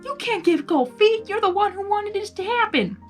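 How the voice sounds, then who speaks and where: A woman's voice: very high voice, animated, moderate pace, faint music behind, voice pushed up. A woman voicing a blunt, loyal female cowgirl pony in a story reading, commanding and emphatic. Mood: exasperated. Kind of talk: audiobook-style character reading.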